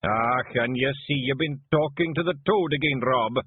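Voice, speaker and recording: A man's voice: medium pitch, normal speed, slightly rough, no echo, voice pushed up, close to an everyday voice. A man doing Big Yan, a blunt Scottish fairy warrior. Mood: matter-of-fact. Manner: blunt, matter-of-fact, broad dialect. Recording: phone-like narrow band.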